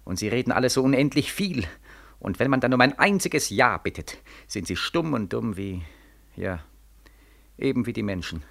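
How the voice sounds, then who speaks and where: A young soldier back from war, bitter and accusing. His voice is forceful and swings widely in pitch as he declaims with biting sarcasm. It is impassioned vintage radio drama, repeating its accusations insistently. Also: lively intonation, normal speed, gravelly. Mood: despairing, bitter. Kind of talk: 1940s radio play acting. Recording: vintage 1940s radio recording.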